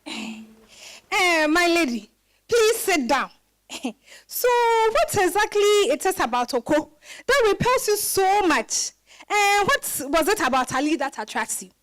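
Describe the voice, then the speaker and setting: Very high-voiced old Ghanaian grandmother. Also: very animated, unhurried, fairly bright tone, exaggerated voice, rough recording. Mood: probing. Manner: exclamatory, probing with rhetorical questions, chuckling. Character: wry, scolding old West African grandmother. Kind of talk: dramatized stage-style reading.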